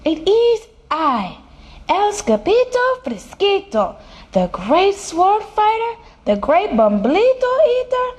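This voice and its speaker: A woman's voice: extremely high pitch, huge pitch swings, slow pace, a little mellow, pitched above the performer's own voice, full character voice. A woman voicing a naughty, boastful young kitten boy who plays at being a hero, in a bold singsong storybook style. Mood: grandly boastful. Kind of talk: children's storybook character voice.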